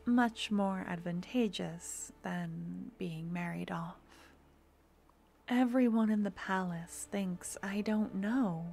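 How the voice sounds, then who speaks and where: A young woman voicing a doting, composed necromancer girlfriend in an ASMR fantasy roleplay, speaking softly and intimately, slipping into whispers close to the mic. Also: mid-range voice, takes it slowly, creaky, slight background noise. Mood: confiding. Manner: soft, intimate, drifting in and out of whispers. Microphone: close ASMR microphone.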